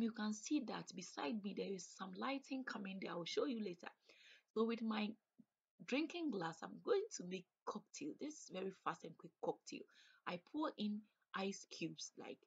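A woman narrates her cooking in a relaxed, chatty way, describing each step as she works. Her voice sits a little low and has a breathy, husky edge. It sounds like a home kitchen recording. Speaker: cooking vlogger. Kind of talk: casual cook-along narration.

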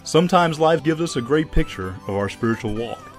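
A man's voice: on the high side, lively intonation, a little fast, over music. A man giving scripted narration as the announcer of an audio drama.

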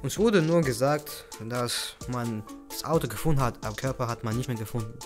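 A man's voice: medium pitch, brisk, soft background music, natural voice. A man playing a grumbling, flippant policeman in an amateur audio play.

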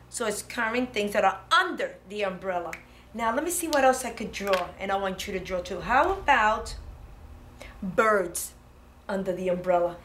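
Female preschool teacher, thirties, bright and higher-pitched, encouraging step-by-step instruction, some room noise behind. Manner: encouraging, with short phrases and frequent check-ins. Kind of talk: simple step-by-step instruction for young children. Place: indoor preschool classroom. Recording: classroom with audible background noise.

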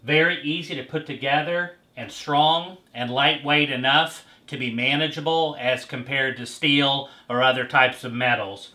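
A man's voice: mid-range voice, bright, forceful tone. A man in his thirties hosting a casual product demonstration indoors, explaining as he goes with fillers and restarts. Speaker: host. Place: indoor showroom with bare walls. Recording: echoey room.

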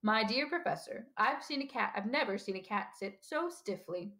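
A woman voicing a calm, gentle elderly male professor in an audiobook, with a measured and mildly whimsical manner. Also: medium pitch. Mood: gentle, amused. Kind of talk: audiobook character dialogue.

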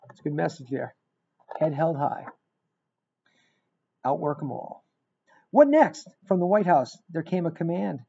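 A middle-aged man reading a rhyming picture book aloud in an expressive, emphatic storytelling manner. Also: fairly high pitch, a little slow, creaky, long pauses, husky. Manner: emphatic, dramatic storytelling with repeated phrases. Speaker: guest reader of a children's book. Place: small indoor room. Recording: dull, lo-fi webcam sound.

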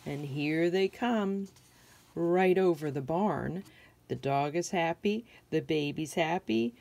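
Slow, low-voiced American woman reading to children. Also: creaky, a little mellow. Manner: simple questions and observations addressed to children. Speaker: picture book reader for children. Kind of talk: read-aloud storytelling with asides to young listeners.

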